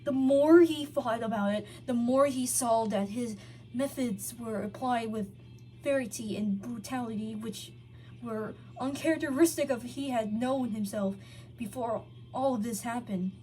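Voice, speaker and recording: A woman's voice: a little high, unhurried, dark tone, faint music behind, poor audio. A woman reading fan fiction aloud as a narrator, sometimes stumbling over words and correcting herself. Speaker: story reader.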